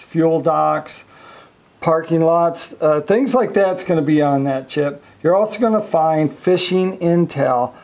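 A man with a somewhat high, gravelly voice explains a marine chart unit step by step. His delivery is relaxed and conversational, full of fillers as he clicks through menus beside a boat. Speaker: marine electronics reviewer. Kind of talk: casual product demonstration.

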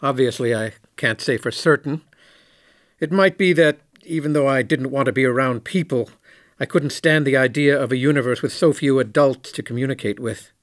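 A man playing a candid, reflective former station commander in a science fiction audio drama, speaking in a measured way. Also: mid-range voice, dry recording, studio quality, close to an everyday voice. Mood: wistful, candid.

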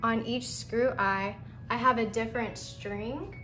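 A woman's voice: on the high side, fairly even intonation, unhurried, pushed and bright, a little hiss or hum. A young woman hosting a casual do-it-yourself walkthrough in her home garage, chatty and informal, recorded on a phone camera. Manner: chatty and informal, says y'all. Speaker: painting channel host. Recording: clear background music underneath.

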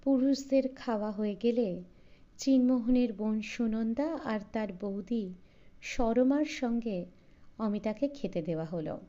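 Slow, mellow woman narrating a story. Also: on the high side, dull audio. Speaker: audiobook narrator. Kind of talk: scripted literary story narration.

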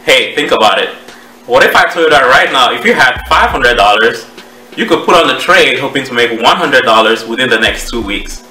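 Man, thirties, Cameroonian accent, measured educator presenting, forceful bright tone, echoey small room. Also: medium pitch, lively intonation, faint music behind. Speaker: options trading educator. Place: small home office, indoors. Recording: roomy echo, distant microphone.

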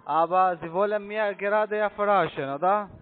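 A man's voice: high-pitched, moderate pace. A man with a foreign accent arguing in broken German on a phone call, as a non-native speaker. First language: not German. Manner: broken German, pleading then crude. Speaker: call centre scam agent. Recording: muffled narrow phone line.

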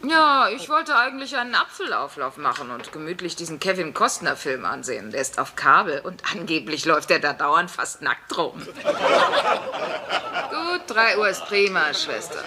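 Blunt sitcom mom, noisy recording. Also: female voice, low voice, very animated, moderate pace. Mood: dry, coaxing. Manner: dry, bossy, sarcastic. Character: blunt, sarcastic working-class sitcom mother. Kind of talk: dubbed sitcom acting.

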